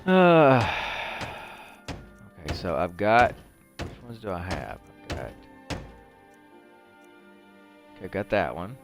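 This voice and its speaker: A man with a fairly low voice talks his way through a video game in a casual, rambling way. He thinks aloud like a host chatting to viewers, with music playing clearly behind him. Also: huge pitch swings, slow pace, pauses often. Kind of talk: casual gameplay commentary.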